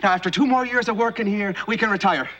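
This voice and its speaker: An actor playing an eager, loyal, folksy laborer friend in a television folk-tale drama. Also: male voice, high voice, fast, sharp tone, highs cut off. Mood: hopeful. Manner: chummy, folksy. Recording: faint music behind, old videotape.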